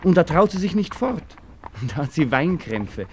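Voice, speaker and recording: Moody lovesick young gent, old radio play, highish voice, bit of background noise. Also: male voice, very animated, unhurried. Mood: evasive, brooding. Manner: brooding, evasive. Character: moody, lovesick young Viennese gentleman, evasive and wistful. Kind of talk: 1960s German radio drama acting. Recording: noisy old radio play with outdoor ambience.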